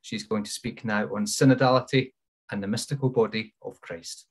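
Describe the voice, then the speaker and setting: A man's voice: lowish voice, fairly even intonation, moderate pace, breathy edge. A man in his thirties moderating an online event, reading out practical notes and a speaker introduction. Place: indoors, on a video call. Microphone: wired earphone mic on a video call.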